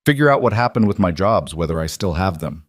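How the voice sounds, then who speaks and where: A man's voice: low voice, animated, fast, dry recording, studio quality. Man voicing a firm, guarded pregnant estranged wife. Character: weary, wary, proud pregnant estranged wife.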